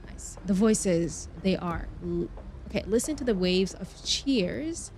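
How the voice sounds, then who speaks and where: A woman's voice: medium pitch, animated, slow, darkish tone, a little hiss or hum. A woman streaming a game, reading story text aloud expressively and mixing in casual, chatty asides. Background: game music.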